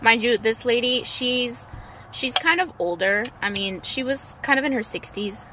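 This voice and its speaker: A woman's voice: fairly high pitch, moderate pace, bright, forceful tone, poor audio. A young woman in her twenties chatting in a car vlog, telling a story in a loose, rambling way with lots of fillers. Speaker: vlogger. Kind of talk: casual chat while riding in a car. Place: inside a convertible car outdoors. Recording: faint car and road noise.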